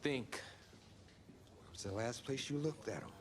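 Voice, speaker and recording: A man playing a young, cocky college football quarterback in a video game drama, talking in a casual, slangy, expressive way. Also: mid-range voice, unhurried, background noise. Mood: flustered. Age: young adult. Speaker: voice actor. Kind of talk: naturalistic sports video game drama acting.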